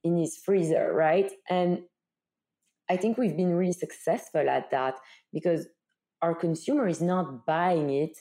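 A Belgian-accented woman speaks as a founder being interviewed. Her voice sits a little low and has a dark, mellow tone. She explains conversationally, often posing questions and checking in with short tags. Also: unhurried.

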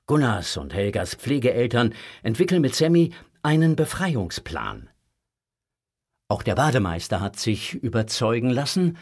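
A man narrating a scripted audio drama for children. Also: fairly low pitch.

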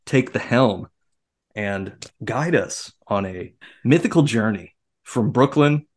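A man's voice: on the low side, animated, slow pace. Wry man hosting a casual podcast. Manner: wry, playfully grandiose. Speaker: podcast host. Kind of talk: casual film discussion.

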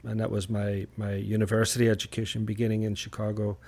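Creaky middle-aged man from Northern Ireland. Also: fairly low pitch, monotone, a little mellow. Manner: measured, formal wording. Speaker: podcast guest.

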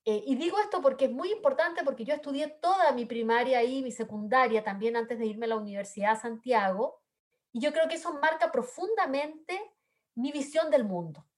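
A woman's voice: high-pitched, animated, fairly quick. A woman from southern Chile with a Chilean accent, speaking earnestly as a panelist, with frequent fillers and tag questions. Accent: Chilean Spanish. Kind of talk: panel discussion remarks.

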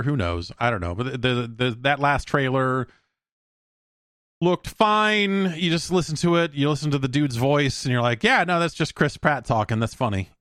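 A middle-aged man hosting a podcast, giving casual solo commentary on news in a rambling, halting way with repeated words. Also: fairly high pitch, a bit bright, clear, resonant tone, dry recording, clean studio sound. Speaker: podcast host.

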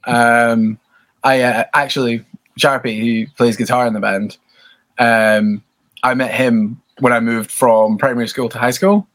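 A man in his thirties from Scotland with a Scottish accent, chatting relaxed and self-deprecating as a podcast guest. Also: mid-range voice, unhurried. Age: 30s. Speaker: podcast guest, band bassist. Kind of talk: casual interview chat.

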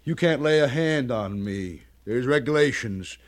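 An American actor playing a scared, defiant, bad-tempered cavalry soldier in a 1950s western radio drama, blustering and stammering in rustic speech. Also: male voice, medium pitch, animated, a little slow, lightly acted. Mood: frightened defiance. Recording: slightly noisy vintage radio recording.

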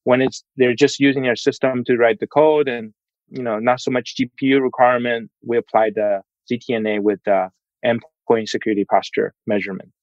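A man's voice: mid-range voice, steady tone, fairly quick, no echo. Man on dull, dry remote audio. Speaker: panelist. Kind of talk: panel discussion.

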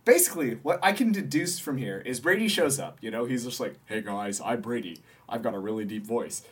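A man co-hosts a comedy podcast in easy, casual chat. He jokes and laughs often, his mid-range voice moving a lot from high to low. He spins silly theories in a loose, playful way. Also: lively intonation, a little fast, breathy edge. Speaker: podcast co-host.

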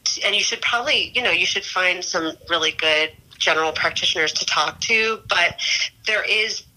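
A woman's voice: fairly low pitch, normal speed, pushed and bright, low-quality recording. A middle-aged woman voice teacher, calling in as a guest, explaining vocal health in a thoughtful, frank way that often corrects itself mid-sentence. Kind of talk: explanatory chat. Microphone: remote call-in mic.

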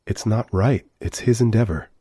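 An actor playing a humanlike AI android in a sci-fi audio drama, speaking in formal, deliberate, measured phrases with a proud, wistful air. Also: male voice, fairly low pitch, warm tone, slightly rough. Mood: guarded, proud.

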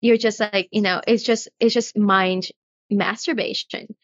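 A woman's voice: medium pitch, fairly even intonation, a little slow, no room sound. Earnest woman from Brazil, dull dry sound. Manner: earnest and searching, many fillers and restarts. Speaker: guest, retreat facilitator. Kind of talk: reflective conversational interview.

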